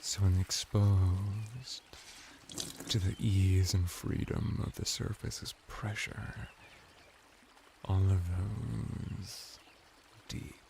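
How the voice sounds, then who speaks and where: A flirty merman played by a man murmurs seductively in a low, creaky voice. He draws out his words very slowly, teasing and servile, in intimate ASMR roleplay style. Noisy watery sound sits behind him. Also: fairly even intonation, full character voice. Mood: sultry, enticing. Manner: slow, teasing, breathy murmur with dramatic pauses.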